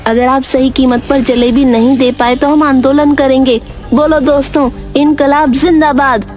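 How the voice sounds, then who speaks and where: A woman's voice: high-pitched, steady tone, normal speed, darkish tone, faint music behind, natural voice. A woman voicing a stubborn, idealistic schoolboy in a cartoon, earnest and defiant. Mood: defiant, righteous. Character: stubborn principled schoolboy, idealistic young son. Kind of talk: Hindi cartoon moral-story voice acting.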